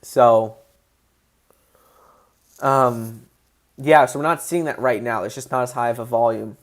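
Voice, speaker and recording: A man hosting a casual livestream, excitable and answering viewers' chat questions as he goes. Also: medium pitch, measured pace. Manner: excitable, voice rises when passionate.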